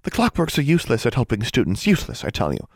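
A man's voice: mid-range voice, wildly swooping pitch, fast talker, vocal fry, no room sound, studio quality, voice pushed up, heavily acted. A man voicing a fussy, self-important female school librarian in an audiobook, complaining as the character speaks. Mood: fussy irritation.

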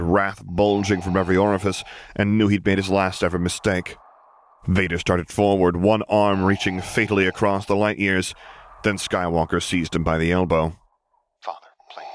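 Male audiobook reader with a deep register, delivering scripted dramatic narration over slight noise.

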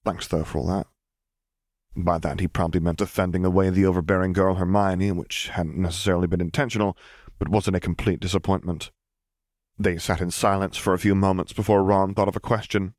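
Low-voiced man narrating a story. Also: flat delivery, brisk, a little mellow, no room sound, studio quality. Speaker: audiobook narrator.

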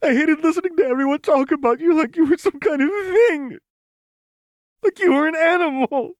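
A man voicing an anxious, tender young woman soldier with halting, emotional speech in an audiobook. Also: very high-pitched, no echo, pitched above the performer's own voice. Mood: anguished, upset. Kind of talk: audiobook character acting.

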